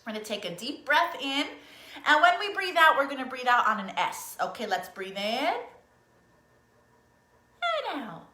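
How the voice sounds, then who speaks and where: Woman, children's storytime leader, high-pitched, encouraging, coaxing, simple questions to kids, echoey room. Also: expressive, normal speed, long pauses, sharp tone. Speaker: children's librarian leading a musical storytime. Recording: roomy, echoey room sound.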